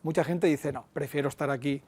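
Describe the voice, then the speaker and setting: A man hosting a local TV interview, chatty and quick to agree with his guest. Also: a little high, fairly even intonation, a little mellow, breathy edge. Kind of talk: conversational interview.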